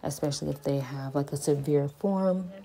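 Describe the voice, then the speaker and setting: A woman in her thirties, a parent vlogging home therapy with her child, speaking in a calm, monotone, matter-of-fact way from a phone camera in a small playroom. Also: sits low, moderate pace. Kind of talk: home therapy vlog, talking while working with her son. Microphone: phone camera on a stand a few feet away.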